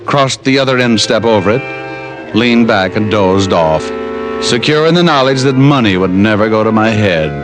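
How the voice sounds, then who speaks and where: An actor playing a wry, unflappable private detective, narrating and trading wisecracks in old radio drama style. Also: male voice, medium pitch, lightly acted. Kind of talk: 1940s-50s radio detective drama acting, hardboiled narration. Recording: vintage radio recording with music behind.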